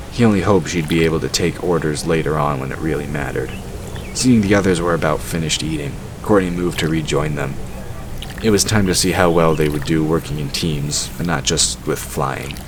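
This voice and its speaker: A man narrating a scripted fantasy story for an audio drama. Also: very deep voice. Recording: clearly noisy background. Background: ambient sound effects.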